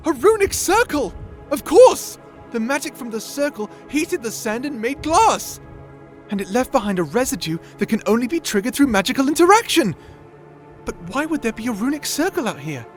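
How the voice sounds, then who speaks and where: A man playing a shy, nerdy, excitable young wizard in an audio roleplay, with a stammering, flustered, self-doubting manner. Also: extremely high pitch, moderate pace, soft background music. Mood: excited discovery. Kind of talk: scripted audio roleplay acting.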